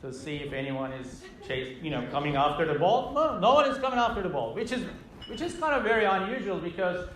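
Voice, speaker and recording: Halting Turkish-accented man telling a story. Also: high voice, sing-song, fairly bright tone, roomy sound, slight background noise, low-quality recording. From Turkey. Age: middle-aged. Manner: halting, many fillers and restarts. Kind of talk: live personal storytelling. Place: stage in a hall with a live audience.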